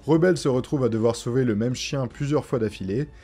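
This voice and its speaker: A man's voice: medium pitch, a little fast, warm tone, husky. A young man giving a scripted, irreverent recap commentary in a colloquial, sarcastic way, with music behind him. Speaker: video essayist narrator. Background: background music.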